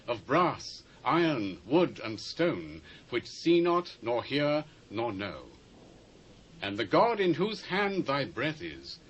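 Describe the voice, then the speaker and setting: A man's voice: mid-range voice, rough recording. An actor with a British accent playing a stern, fearless prophet in a dramatised Bible reading, solemn and emphatic as he declaims. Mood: accusing. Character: stern, fearless prophet pronouncing doom on a king. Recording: faint hiss, old cassette transfer.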